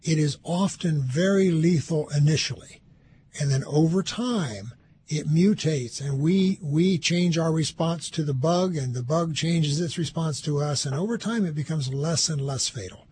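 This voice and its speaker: Brief: male health expert, slightly high voice, measured conversational explainer, some background noise. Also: darkish tone. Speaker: health expert on a radio call-in show.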